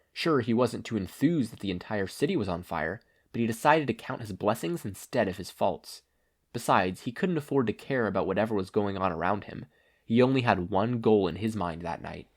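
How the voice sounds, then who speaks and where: A man reading a story aloud in a scripted narration style. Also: on the low side. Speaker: podfic reader.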